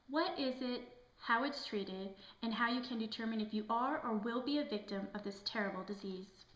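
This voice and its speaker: A woman's voice: fairly high pitch, fairly bright tone, close to an everyday voice. A woman playing a formal television health news anchor, reading a scripted show introduction. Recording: echoey room.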